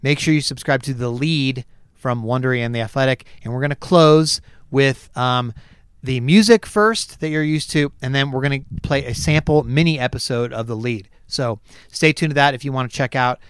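A man's voice: mid-range voice, normal speed, a bit bright, smooth, no room sound, studio quality. A man hosting a podcast in casual chat, losing his voice and rambling with many fillers and restarts. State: losing his voice, clearing his throat. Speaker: podcast host. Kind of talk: casual chat, reading a sponsor spot.

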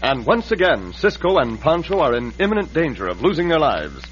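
Animated old-time radio announcer, a man. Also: a little high, fairly quick. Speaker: announcer and narrator. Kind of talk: dramatic scripted 1950s radio narration. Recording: muffled old radio recording. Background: music.

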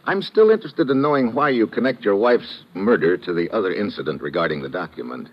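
Deep-voiced, blunt American investigator. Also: male voice, expressive, brisk. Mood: skeptical. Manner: terse, probing, colloquial. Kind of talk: old-time radio drama acting. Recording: muffled vintage radio recording.

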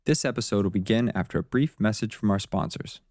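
A man giving a short scripted introduction as a podcast host, in a plain, even read. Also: lowish voice, fairly quick, no room sound, studio quality.

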